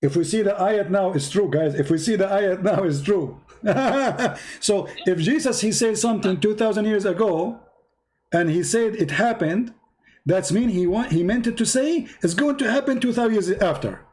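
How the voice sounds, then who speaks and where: Fired-up arabic-native guy hosting a debate, high voice, mocking and cutting in constantly. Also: lively intonation, normal speed, a little mellow. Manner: combative, insulting and mocking, talks over the other man. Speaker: debate show host. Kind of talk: heated online debate.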